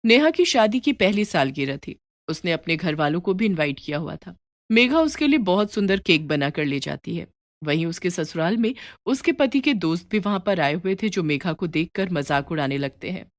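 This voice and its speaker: A woman's voice: lowish voice, very animated, normal speed, no echo, studio quality. A woman reading a scripted story as its narrator.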